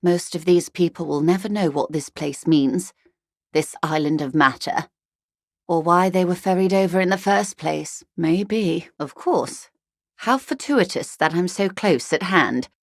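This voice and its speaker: Posh middle aged corporate negotiator lady for a game, lowish voice, super dry sound, composed n wry, very formal. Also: measured pace, no room sound. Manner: composed, formal, diplomatic, faintly ironic. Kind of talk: video game character dialogue.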